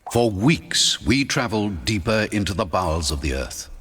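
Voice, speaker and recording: Guy narrating an audiobook dramatically, bit raspy, lots of pitch movement, long echoey reverb. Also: moderate pace. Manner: theatrical, expressive storytelling.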